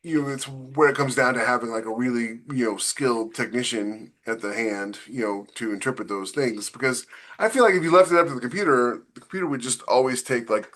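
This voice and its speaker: A man's voice: medium pitch, a little fast, fairly bright tone. A man on a casual podcast panel, talking in a rambling, conversational way as he works through his point.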